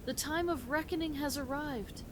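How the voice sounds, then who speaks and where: Woman voicing a tiny, high-pitched gnome adventurer. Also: normal speed, slight background noise, natural voice. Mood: grandly declaiming. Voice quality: tiny, small voice. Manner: emphatic, theatrical. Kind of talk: dramatized fantasy audio story.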